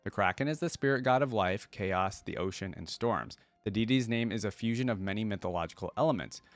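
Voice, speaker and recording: A man's voice: on the low side, brisk, no echo. A man hosting a YouTube reaction, reading aloud and adding informal, conversational asides in a casual style.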